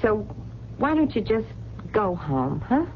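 Brassy, animated diner waitress. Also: female voice, medium pitch, takes it slowly. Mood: brisk, dismissive. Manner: streetwise, wisecracking, colloquial. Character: brassy, soft-hearted, talkative late-night diner waitress. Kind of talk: 1970s radio drama acting. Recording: dull, noisy old radio recording.

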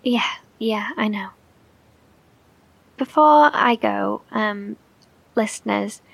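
An actress playing a sincere, gently concerned bunker broadcaster in a horror audio drama, with a husky, creaky voice and hesitant, informal delivery. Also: female voice, mid-range voice.

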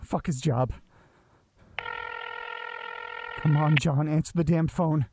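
A man's voice: fairly high pitch, steady tone, moderate pace, background noise, close to an everyday voice. An actor playing a rattled, needy man who talks in a hesitant, jittery way in a naturalistic audio drama. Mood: alarmed, frustrated. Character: anxious, sweary male tape listener, needy and rattled.

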